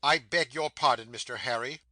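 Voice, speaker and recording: A woman's voice: a bass voice, moderate pace, pushed and bright, pitched below the performer's own voice, full character voice. A woman voicing a loyal, tearful male servant who speaks deferentially.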